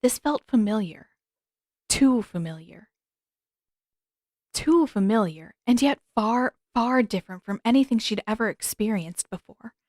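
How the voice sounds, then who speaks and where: A woman's voice: on the high side, expressive, unhurried, darkish tone, dry recording. Woman narrating a story, close dry sound. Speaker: fan fiction narrator. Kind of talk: scripted audiobook narration.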